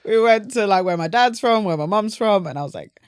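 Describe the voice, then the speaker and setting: A young British woman chatting casually as a podcast guest, telling things in an animated, informal way. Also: mid-range voice, a little fast, clean tone, dry recording, studio quality. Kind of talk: casual chat.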